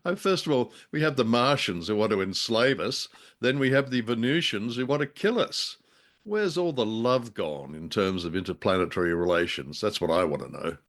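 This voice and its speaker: A male podcast host with a lowish voice. He chats casually in a playful, mock-dramatic way, in the manner of easy co-host banter. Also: animated, normal speed, fairly bright tone. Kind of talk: casual scripted-feeling banter.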